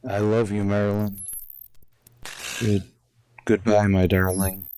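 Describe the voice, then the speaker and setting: Man acting a halting, emotional space commander. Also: fairly low pitch, flat delivery, darkish tone, a little hiss or hum, rough recording. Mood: loving, anguished. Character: male survey commander, startled, tender long-lost lover. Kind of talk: dramatic audio drama acting.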